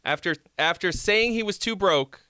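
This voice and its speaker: A man's voice: high voice, lively intonation, moderate pace, bright, forceful tone, smooth, dry recording, clean studio sound. Nasal-voiced man giving casual song feedback. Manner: rambling, many fillers and restarts. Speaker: music critique livestream host.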